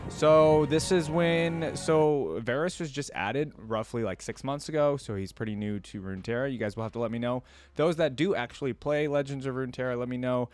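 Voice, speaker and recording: A man's voice: medium pitch, a little fast, a little mellow. A young man hosting a reaction video, commenting casually and musing aloud as he goes. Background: faint music.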